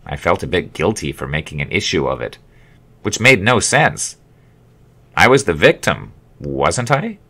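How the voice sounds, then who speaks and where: A man narrating his own memoir as an audiobook, with expressive, wry storytelling. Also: deep voice, a little slow, vocal fry, husky. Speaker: author reading own memoir.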